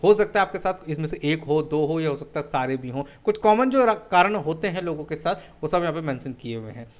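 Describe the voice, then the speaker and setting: High-voiced Indian man teaching English. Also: lively intonation, fairly quick. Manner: Hindi-English mixing, repeats catchphrase "my dear friend"; rhetorical questions answered himself. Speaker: spoken English coach. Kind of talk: webinar lesson.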